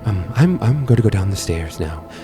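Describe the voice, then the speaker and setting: A man's voice: fairly low pitch, very animated, over music. A man voicing a mousy, nervous, forgetful fellow who stammers, hums to himself and repeats his phrases in a tabletop game. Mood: anxious. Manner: halting, stammering, repetitive, humming to himself.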